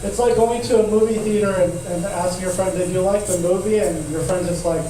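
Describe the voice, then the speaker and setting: A man's voice: high voice, fairly even intonation, brisk, dark tone. A man in his twenties performing a laid-back, crude stand-up set in a comedy club, laughing at his own jokes. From Tucson, Arizona. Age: 20s. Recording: echoey club audio from far off, noisy.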